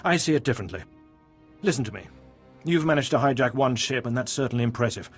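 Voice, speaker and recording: An actor playing a gruff, pragmatic warship captain in a video game, firm and commanding. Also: male voice, medium pitch, expressive, quick pace, soft background music, natural voice. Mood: defiant.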